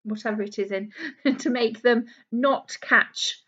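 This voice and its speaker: A woman with a fairly high voice reads a picture book to young children as a teacher would. She explains things in simple words and puts questions to her listeners. The recording is a little dull and lo-fi. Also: a little slow, fairly bright tone. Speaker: primary school teacher. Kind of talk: read-aloud storybook lesson for young children. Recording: dull lo-fi small camera recording.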